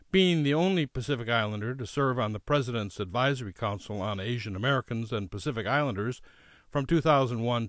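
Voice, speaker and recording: A man narrating a documentary in a scripted, expressive delivery. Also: mid-range voice, dry recording, studio quality.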